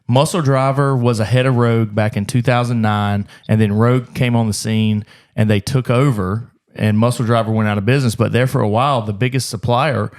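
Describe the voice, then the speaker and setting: A man hosting a casual podcast, telling stories quickly and rambling, with swearing along the way. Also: medium pitch, fairly even intonation, a little mellow. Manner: fast, rambling, swears freely. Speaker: gym owner, podcast host.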